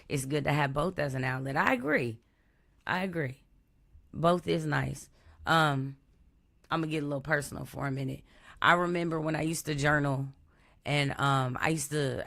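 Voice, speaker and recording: A woman from Texas, hosting a live stream in a relaxed, chatty way over an online call. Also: a bass voice, gravelly. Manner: relaxed, chatty, open about personal experience. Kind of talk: casual chat. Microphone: online call or stream mic.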